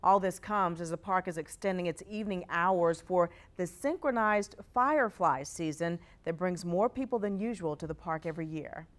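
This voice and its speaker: A woman's voice: on the low side, normal speed, hardly any pauses. An American woman reading scripted news as a television anchor. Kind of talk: scripted broadcast newsreading.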